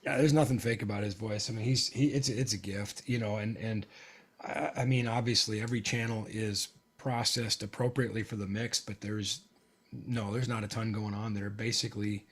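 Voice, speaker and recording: A man with a hoarse, gravelly voice, chatting casually as a guest about live sound mixing, with plenty of fillers. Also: on the low side, steady tone, normal speed. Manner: hedging, lots of fillers. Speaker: audio engineer guest. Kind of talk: casual chat.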